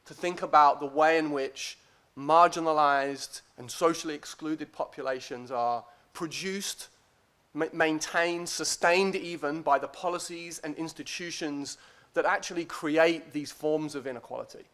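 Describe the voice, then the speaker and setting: A man with a slightly high voice delivers an academic conference lecture. His manner is deliberate and a little hesitant, with a modest, self-deprecating air as he addresses his listeners. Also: a bit bright. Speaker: academic guest speaker.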